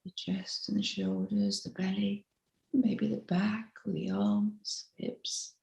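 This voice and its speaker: Gravelly-voiced woman guiding a slow meditation. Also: mid-range voice, little pitch movement. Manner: gentle, unhurried, with soft instructive phrasing. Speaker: mindfulness teacher leading a body scan. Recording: roomy, echoey sound.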